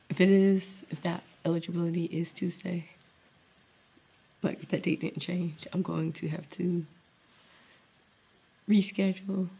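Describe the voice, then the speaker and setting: A woman's voice: on the low side, gravelly, warm tone, breathy edge. A young woman, a teacher, talking casually to camera in a rambling, think-aloud way, sounding congested from a cold. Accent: Southern US. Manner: rambling, thinking aloud, drops to near-whisper. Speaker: special education teacher and vlogger. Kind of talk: casual vlog talk to camera.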